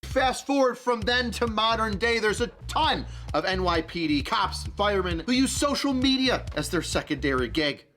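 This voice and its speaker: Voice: mid-range voice, lively intonation, moderate pace, few pauses, fairly bright tone. A commentator from Western New York narrating a scripted video with wry, sarcastic asides. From Western New York, USA.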